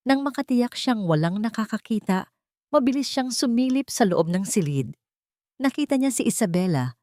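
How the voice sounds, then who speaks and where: A woman's voice: low-pitched, darkish tone, dry recording. Filipino woman narrating drama, close and dry. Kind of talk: scripted dramatic story narration.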